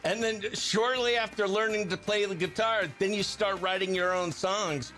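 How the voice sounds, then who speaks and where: A man's voice: high-pitched, steady tone, normal speed, pushed and bright, soft background music, poor audio. A man hosting a country radio show, interviewing a guest in an upbeat radio style.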